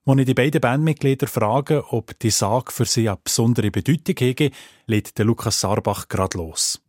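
A man with a Swiss German accent narrating a scripted radio feature as its presenter, with a husky voice. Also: medium pitch, normal speed, darkish tone, no room sound, clean studio sound. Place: dry studio.

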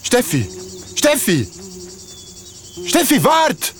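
Young man in a radio thriller, high voice swinging up and down, agitated, guilt-ridden. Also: wildly swooping pitch, extremely slow, a bit bright, heavily acted. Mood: bewildered. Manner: agitated, emotional. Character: anxious, guilt-ridden trapped young man, a loyal grandson. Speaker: actor in a radio drama. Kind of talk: thriller radio drama acting.

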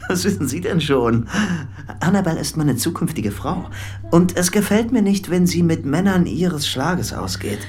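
A man's voice: a little high, lively intonation, unhurried, a little mellow. Obsessive, lovesick young man, swinging intonation. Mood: insistent. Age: young adult. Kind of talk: radio play acting.

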